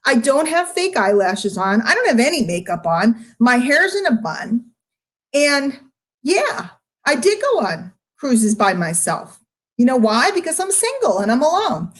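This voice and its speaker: A woman's voice: high voice, sing-song, a little slow. A middle-aged American woman giving a candid, off-the-cuff monologue to her followers, emphatic and confrontational, repeating phrases for stress. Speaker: online marketer speaking to followers.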